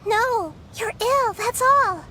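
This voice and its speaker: A woman voicing a gentle, wise little girl god in a video game, calm and earnest. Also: extremely high pitch, slow pace, a little hiss or hum, full character voice. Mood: concerned.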